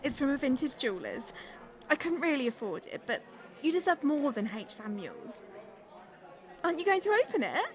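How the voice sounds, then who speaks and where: A woman's voice: high-pitched, very animated, normal speed, long pauses, a crowd in the background. An actress playing a manipulative, theatrical young girlfriend in a radio drama, gushing one moment and self-pitying the next. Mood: wounded, coaxing. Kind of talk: naturalistic radio drama acting.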